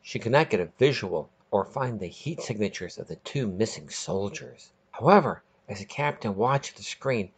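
A man's voice: lowish voice, expressive, moderate pace, few pauses, husky. A man hosting and narrating a scripted short story podcast in a dramatic, emphatic way.

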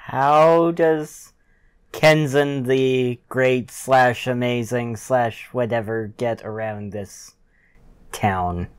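Very slow, smooth-voiced man gamer. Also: medium pitch, steady tone, dry recording. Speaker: tabletop game player. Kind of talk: casual chat.

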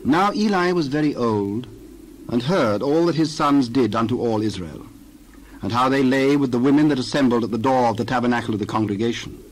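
A man's voice: medium pitch, expressive, a little fast, rough recording. Male Bible narrator, background noise.

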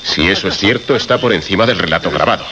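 A man acting an incredulous, agitated radio director in a radio drama, brisk and commanding. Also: deep voice, expressive, a crowd in the background. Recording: noisy, dull old radio recording.

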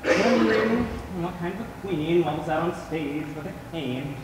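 A man's voice: a little high, expressive, moderate pace, lightly acted. A young man on stage playing a flamboyant, witty, ailing drag queen with campy, projected delivery. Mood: wry, weary. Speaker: stage actor in a school play. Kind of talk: theatrical stage acting. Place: large theatre stage, indoors. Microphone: far camera. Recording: echoey theatre, distant microphone, clear noise.

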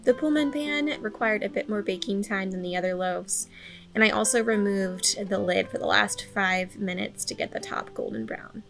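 A woman's voice: medium pitch, normal speed, creaky, a bit bright, soft background music. Woman narrating a home baking tutorial. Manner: friendly, step-by-step instructional. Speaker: homesteading vlogger. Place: home kitchen, indoors.

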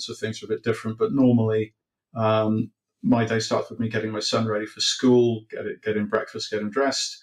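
A man's voice: fairly low pitch, poor audio. A middle-aged man from Wales answering interview questions on a video call from his home office, hesitant, with many ums and restarts. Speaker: interview guest, developer advocate. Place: home office with bookshelves, indoors. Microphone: video call webcam.

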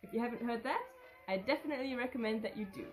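A woman's voice: a little high, racing, soft background music. A young woman in her twenties, a native German speaker, reading fan questions aloud and answering off the cuff in a casual vlog from her living room. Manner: chatty, reads questions aloud then rambles off the cuff. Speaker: vintage fashion vlogger. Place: living room with red curtain and sofa.

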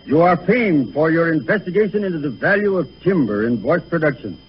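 Lively, oratorical man on old radio. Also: on the high side, fairly quick. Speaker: university dean, guest. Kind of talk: formal mock-ceremonial speech on 1930s radio. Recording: muffled, noisy 1930s radio broadcast.

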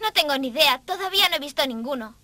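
A woman's voice: high-pitched, expressive, fast, pushed and bright, no room sound. A woman voicing a bold, stubborn, curious jungle boy in a cartoon. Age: child figure.

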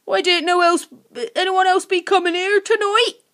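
A woman voicing a wheedling, slow-witted male monster in a children's story, using muddled broken grammar. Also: very high voice, fairly even intonation, fairly bright tone, voice pushed up. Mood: puzzled.

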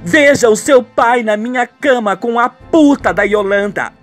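A man's voice: very high voice, measured pace, fairly bright tone, faint music behind, pitched above the performer's own voice. A man playing a furious, scornful middle-aged betrayed wife in a radio soap opera.

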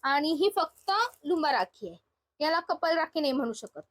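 A woman with a high voice hosts a shopping vlog. She presents products in an unscripted, conversational style, talking to viewers and to the seller. The sound is dry, with little room around the voice. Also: animated, normal speed, no room sound.

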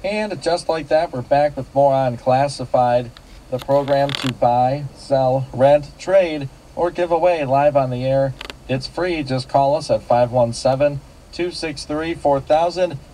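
Mellow male local radio host. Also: mid-range voice, measured pace, slight background noise, low-quality recording. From United States. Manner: polite, repeats details back to callers. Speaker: radio call-in show host. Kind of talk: local radio classifieds call-in hosting.

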